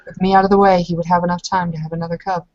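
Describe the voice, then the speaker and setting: Woman playing a low-voiced, defiant, bitter woman. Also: little pitch movement, racing, darkish tone, dry recording, lo-fi sound, natural voice. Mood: bleak. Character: defiant, bitter woman refusing to bear a mutant child.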